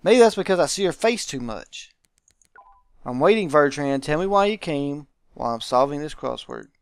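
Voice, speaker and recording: A man's voice: on the high side, lively intonation, normal speed, clear, resonant tone, no room sound. Man voicing a grumpy, sarcastic fat archive clerk. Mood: irritated, sarcastic. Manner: sarcastic, dismissive. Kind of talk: visual novel character read-aloud.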